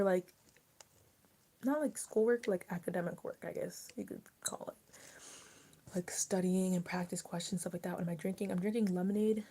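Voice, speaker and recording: A young woman hosting a casual livestream while she eats dinner. She rambles and drops often into soft near-whispers. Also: medium pitch, slight background noise. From US mid-Atlantic, rural border area. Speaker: livestream host. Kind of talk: casual chat with live viewers while eating.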